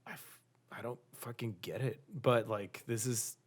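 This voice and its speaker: A man with a rough, raspy voice talks in casual podcast chat. His speech is laid-back and rambling. He restarts his sentences often and leans on filler words. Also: mid-range voice, steady tone, normal speed. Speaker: band singer and guitarist.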